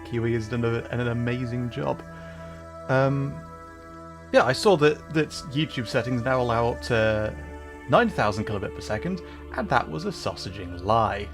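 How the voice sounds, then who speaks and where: Male streamer, mid pitch, rambling and apologetic, music plainly under his voice. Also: normal speed. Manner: rambling, self-deprecating, apologetic. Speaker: VTuber streamer. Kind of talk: casual livestream chat. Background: background music.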